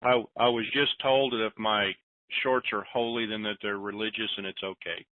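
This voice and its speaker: A man's voice: fairly low pitch, steady tone, normal speed, no room sound. A man hosting a conference call, reading listener questions aloud and answering in a rambling, self-deprecating, joking way. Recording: tinny phone line.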